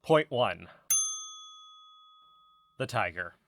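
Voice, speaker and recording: An actor playing an eager, suspicious amateur investigator in a podcast drama. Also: male voice, lowish voice, expressive, extremely slow, gravelly, a bit bright, exaggerated voice. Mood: insistent. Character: eager, conspiracy-minded, nervous male amateur podcast investigator. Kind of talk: audio drama podcast dialogue.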